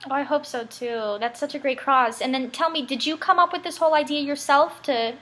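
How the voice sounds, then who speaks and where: Female interviewer, high voice, quick pace, chatty, gushing. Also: a bit bright. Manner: gushing, encouraging.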